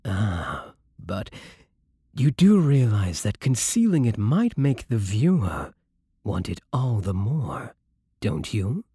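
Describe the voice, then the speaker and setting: A suave, possessive vampire aristocrat, acted by a man, speaking slowly and seductively in a close, dry ASMR roleplay. His pitch swoops and dips through courtly teasing, with polite menace underneath. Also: very animated, mellow, slightly rough, no echo, heavily acted. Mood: seductive, admiring. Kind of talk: intimate ASMR roleplay acting.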